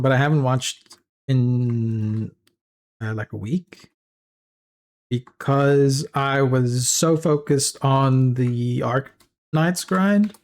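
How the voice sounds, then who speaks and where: A man with a South African English accent and Afrikaans as his first language, chatting casually and laughing easily with viewers as a streamer. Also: mid-range voice. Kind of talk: casual chat with viewers while 3D modeling.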